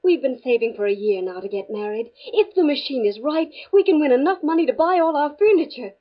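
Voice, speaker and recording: An actress playing a practical, persuasive fiancee in a 1950s radio drama. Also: female voice, high voice, lively intonation, brisk. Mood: coaxing, skeptical. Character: practical, coaxing fiancee of a stuffy academic. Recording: muffled, faintly noisy 1950s radio recording.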